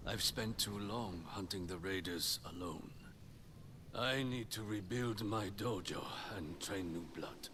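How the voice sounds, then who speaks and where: An actor playing a seasoned spear master in a video game, a stern yet encouraging teacher with a measured, commanding, instructive manner. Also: male voice, lowish voice, lightly acted. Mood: earnest. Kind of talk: video game character acting. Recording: clear noise of game ambience.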